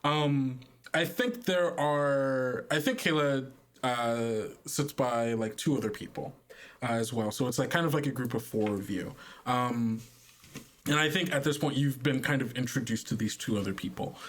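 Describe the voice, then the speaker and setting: A man's voice: medium pitch, expressive, moderate pace. A man working as game master, narrating an improvised tabletop game in a casual, hesitant, conversational way.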